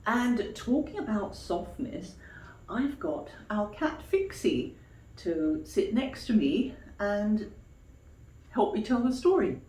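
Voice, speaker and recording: A woman storyteller reading expressively to young children like a teacher, pausing for questions and explanations. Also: mid-range voice, very animated, warm tone, a little hiss or hum. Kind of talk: children's storytime read-aloud with explanatory asides. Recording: echoey room.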